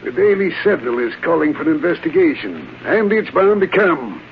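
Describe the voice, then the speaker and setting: A man playing an irascible, domineering official in an old radio drama, gruff and imperious, on a tinny, noisy recording. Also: a little high, big pitch swings, fairly quick. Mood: angry, accusing. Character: irascible, domineering male highway commissioner, blustering boss.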